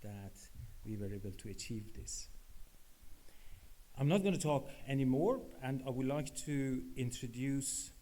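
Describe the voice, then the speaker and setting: A man who is not from Scotland, a professor welcoming attendees and introducing the next speaker in earnest, slightly halting English. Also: medium pitch, dark tone. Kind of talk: conference welcome speech. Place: conference hall.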